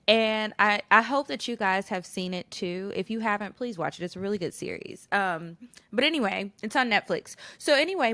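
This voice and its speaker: Bright, forward American woman podcasting. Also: mid-range voice, no room sound. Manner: candid, slangy, girlfriend-to-girlfriend delivery. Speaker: podcast co-host. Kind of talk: casual, chatty podcast talk.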